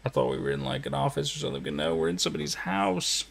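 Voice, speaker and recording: A man's voice: sits low, very animated, quick pace, vocal fry, fairly bright tone. A man hosting a reaction video, giving casual commentary in short asides and exclamations.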